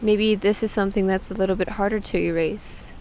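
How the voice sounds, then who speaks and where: A woman leading a guided meditation, giving soothing instructions with frequent pauses. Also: mid-range voice, steady tone, a little mellow, a little hiss or hum. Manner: slow, pausing, soothing instruction.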